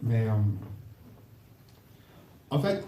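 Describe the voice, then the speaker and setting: A man giving an earnest, animated testimony in front of his church congregation. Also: mid-range voice, extremely slow. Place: church hall. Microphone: distant room mic. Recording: echoey hall from far off, faint hiss.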